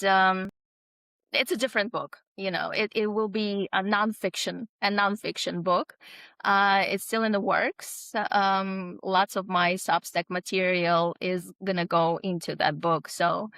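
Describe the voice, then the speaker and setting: A woman speaking as an interview guest, reflective and conversational, pausing often as she thinks aloud. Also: medium pitch, bright, forceful tone, dry recording. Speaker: podcast guest, author.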